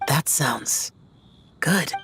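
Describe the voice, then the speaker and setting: A woman's voice: low voice, animated, drawn-out words, a bit bright, a little hiss or hum, full character voice. A woman voicing a reserved, serious guardian warrior in a video game, terse and understated.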